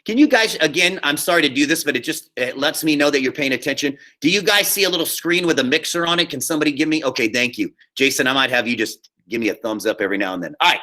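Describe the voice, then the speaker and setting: Male teacher for an online walkthrough: fairly high, very bright voice, informal and instructive, checks in with listeners. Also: quick pace, hardly any pauses. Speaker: high school theater teacher. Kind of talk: live online class instruction, screen-share walkthrough.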